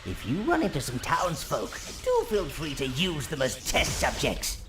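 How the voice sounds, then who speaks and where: An actor playing an elderly, servile minion adviser in a video game, dryly sinister and theatrical. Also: male voice, fairly high pitch, wildly swooping pitch, measured pace, background noise. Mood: gleeful, scheming. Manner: obsequious, theatrical, dry understatement. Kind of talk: scripted video game character acting.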